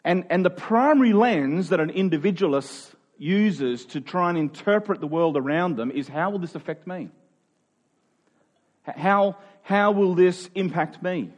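Man preaching conversationally. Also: fairly high pitch. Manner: halting, thinking aloud, with rephrasing and repetition for emphasis. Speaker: pastor, preacher. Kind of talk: conversational sermon.

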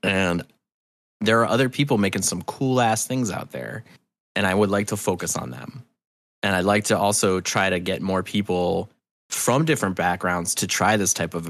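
A man being interviewed, telling stories in an animated, rambling, sweary and self-deprecating way. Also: sits low, no room sound. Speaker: interview guest, festival promoter. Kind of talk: casual storytelling interview.

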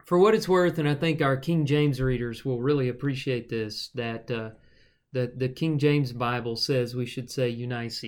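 A man's voice: medium pitch, moderate pace. Guy walking through bible verses on a podcast, easygoing, explains stuff, lots of "you know" and "uh". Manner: relaxed and explanatory, with frequent fillers. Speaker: Bible study podcast host. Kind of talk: conversational Bible teaching.

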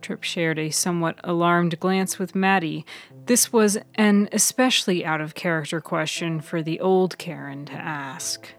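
A woman narrating scripted fiction in a deliberate, dramatic way. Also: fairly low pitch, soft background music. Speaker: author and narrator.

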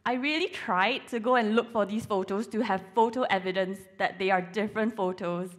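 A woman in her twenties, originally from Singapore, speaks to a church congregation. She tells her stories with teasing, self-mocking humor, pitched in a middle range for a woman. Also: fairly quick. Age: 20s. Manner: playful, self-mocking storytelling. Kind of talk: humorous sermon talk.

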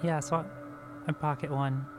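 A man's voice: fairly high pitch, flat delivery, moderate pace, music in the background. A man chatting casually as a player in a tabletop role-playing game.

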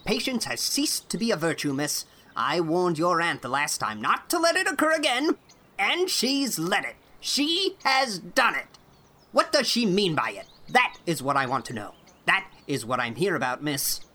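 An irate old farmer from a storybook, short and bald, splutters and scolds in a rough, swooping voice, as a woman reader puts him on. He barks with a bright, hard edge and big jumps in pitch. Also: very animated, moderate pace, heavily acted. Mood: angry bluster.

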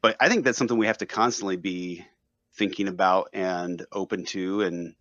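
A man's voice: low voice, fairly even intonation, moderate pace, a bit bright, no room sound, muffled recording. A man speaking as a guest in a casual discussion, easygoing and thinking aloud.

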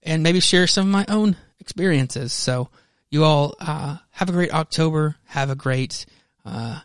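A man hosting a solo podcast in a casual, rambling, halting way, often correcting himself as he goes. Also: fairly high pitch, clean tone, no echo, studio quality. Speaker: podcast host.

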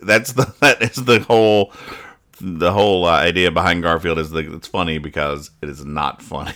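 A man's voice: low-pitched, fairly even intonation, normal speed, bright, forceful tone. A man hosting a casual comedy podcast, reading out and explaining things in a rambling, mock-serious way. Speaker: host of a comics-reading podcast.

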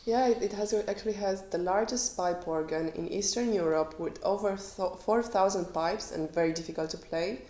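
A woman's voice: mid-range voice, moderate pace, few pauses, warm tone. A woman from Transylvania, a tour guide explaining local history in an earnest, informative way. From Transylvania, Romania. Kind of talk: conversational guest explanation. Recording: echoey room.

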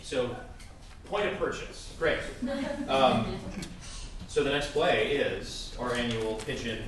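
A man's voice: mid-range voice, slow, fairly bright tone. A man hosts a live event with informal stage announcements. He rambles wryly in a mid-range voice. The recording is distant, very echoey and noisy. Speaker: host of live holiday play reading.

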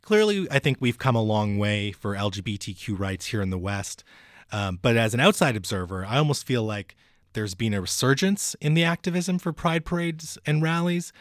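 A man hosting an interview, speaking casually and warmly affirming his guest, with frequent fillers. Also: mid-range voice, expressive, normal speed, dry recording, clean studio sound. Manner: friendly, with many fillers and affirmations. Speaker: podcast host.

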